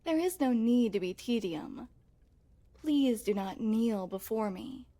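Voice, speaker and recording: Woman acting a soft-toned, unhurried regal young princess. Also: a little high, expressive. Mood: gracious, teasing. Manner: formal, courtly, coy phrasing. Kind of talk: intimate ASMR roleplay acting.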